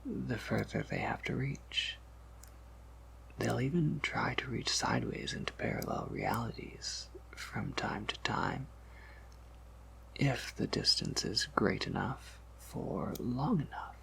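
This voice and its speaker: A man performs a patient, knowing vampire shopkeeper for an ASMR roleplay. He speaks slowly and softly, mostly in a whisper that drops into heavy creak. His explanations are measured and slightly wry. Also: medium pitch, very animated, exaggerated voice, poor audio. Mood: quiet, confiding. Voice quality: breathy whisper with creaky undertone. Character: soft-spoken male vampire apothecary shopkeeper, patient and knowing.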